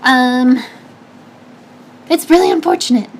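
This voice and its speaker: A woman streamer answering her chat in casual talk, with a high-pitched, slightly nasal, stuffy voice, chatty and full of restarts. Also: slow. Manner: chatty, advice-giving, lots of restarts and fillers. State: stuffy nose.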